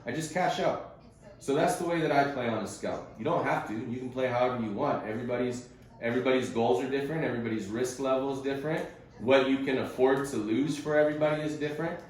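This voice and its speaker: A man's voice: mid-range voice, quick pace, a little mellow, very echoey, low-quality recording. A man coaching a group on a conference call, explaining things informally and conversationally with casual slang. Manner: casual and conversational, uses slang like bro and bud.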